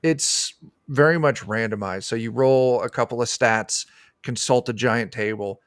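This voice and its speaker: A man's voice: medium pitch, unhurried, clear, resonant tone, dry recording, studio quality. A man explaining things informally as a guest in a casual interview chat. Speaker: game designer guest.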